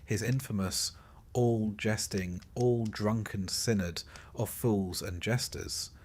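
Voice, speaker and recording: A man narrating a scripted history story in a measured storytelling way, with dry, wry understatement. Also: on the low side, steady tone, darkish tone.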